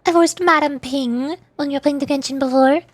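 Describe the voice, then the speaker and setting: A woman's voice: sits high, fairly even intonation, fairly quick, no room sound. A woman streamer chatting casually with viewers in a playful, cutesy manner. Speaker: streamer and voice performer. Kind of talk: casual livestream chat, answering viewers.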